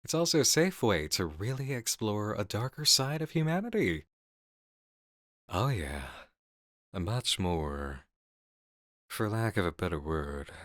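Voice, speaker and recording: A man playing an intense, seductive horror game designer in an intimate ASMR roleplay, speaking in deliberate, drawn-out phrases on a close mic. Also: sits low, a bit bright, dry recording. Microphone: close studio mic.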